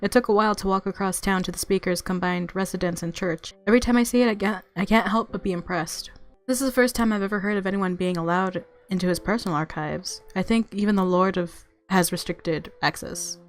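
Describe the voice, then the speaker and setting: A woman with a mid-pitched voice reads a visual novel aloud while music plays underneath. She narrates expressively, in the playful manner of a gamer reacting to the story. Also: fairly quick.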